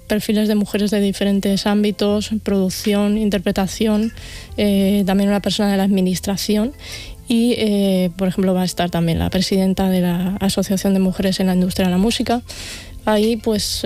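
A woman from Spain talks as an interview guest in a mellow, relaxed voice. Her pitch stays very even as she explains things conversationally, often checking in with short tag questions. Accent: Spanish from Spain. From Castilla-La Mancha, Spain. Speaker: event organiser, interview guest.

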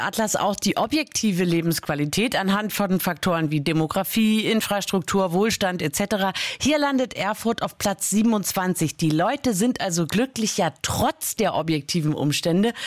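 A woman from Berlin hosts a radio interview at a mid pitch. She speaks in a brisk, polished presenter style. The studio sound is dry and close. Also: no echo. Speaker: radio host. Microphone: studio mic.

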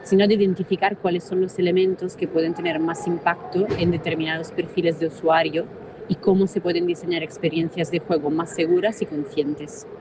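A woman researcher giving a clear, formal explanation in an interview. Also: lowish voice, level tone, a little fast. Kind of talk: prepared interview statement. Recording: noisy background.

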